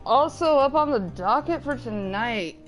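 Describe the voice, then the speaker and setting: A woman's voice: fairly high pitch, animated, unhurried, lo-fi sound. A woman hosting a gaming chat in a casual, teasing way, chatting and reading out comments. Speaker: gaming show host, reading viewer chat. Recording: loud music behind the voice. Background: music.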